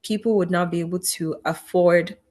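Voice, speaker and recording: A woman's voice: fairly low pitch, steady tone, moderate pace, a little mellow. A middle-aged woman from Cameroon, a physician, telling her life story in an interview in an earnest, faith-filled way. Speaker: guest, physician and founder.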